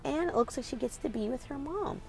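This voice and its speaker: A woman's voice: sits high, lively intonation, fairly quick, creaky, mellow, soft background music. A woman hosting a children's read-aloud, reading expressively and putting questions directly to young listeners in an instructive way.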